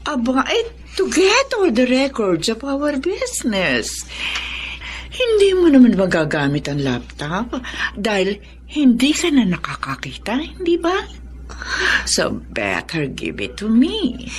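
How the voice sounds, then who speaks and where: Scheming stepmother, very animated. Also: a little high, slow pace, a little hiss or hum, heavily acted. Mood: pushy, insincere. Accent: Filipino. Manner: falsely sweet, condescending, self-important. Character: scheming, domineering stepmother taking over the family company. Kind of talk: Filipino radio drama acting.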